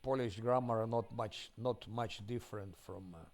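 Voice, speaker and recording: A man chatting casually over a live game stream. His voice sits low and gravelly, and he often halts mid-sentence while searching for the right English words. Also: moderate pace, a little mellow. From Ukraine. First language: Ukrainian.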